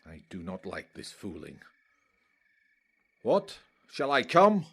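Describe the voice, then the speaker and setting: Actor as blunt Greek warrior for verse drama; imperious, measured pace, very lively pitch. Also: male voice, creaky, husky, heavily acted. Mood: proud, impatient. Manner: imperious, impatient. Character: Diomed, blunt, demanding, proud Greek warrior. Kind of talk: theatrical Shakespearean verse acting.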